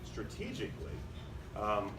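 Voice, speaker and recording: Emphatic male speaker at a press event, low voice, heard from afar in a noisy hall. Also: wildly swooping pitch, a little slow. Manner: emphatic, speaks in long run-on sentences with restarts. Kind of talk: impromptu answers at a press conference. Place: conference room. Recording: echoey room picked up from a distance with noise.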